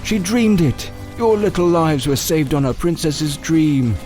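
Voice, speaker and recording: A man playing a theatrical, taunting villain in an audio drama, with a mocking, drawn-out, mock-courteous manner. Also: fairly high pitch, animated. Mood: mocking, smug. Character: taunting, theatrical male villain toying with a princess. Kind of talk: fan audio drama acting. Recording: heavily echoing, loud music underneath. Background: music.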